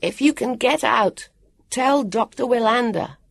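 A woman's voice: fairly low pitch, steady tone, a little slow, creaky, no room sound, studio quality, voice pushed up. A woman voicing an earnest, self-dramatising boy who speaks precisely and emphatically in a storybook reading. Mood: careful calling.

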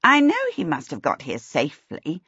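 A woman voicing a brisk, severe, super-efficient middle-aged witch for an audiobook, dry, emphatic and impatient. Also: low-pitched, wildly swooping pitch, bright, forceful tone, breathy edge, no room sound, dull audio, full character voice. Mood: sharp, certain. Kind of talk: audiobook character voice.

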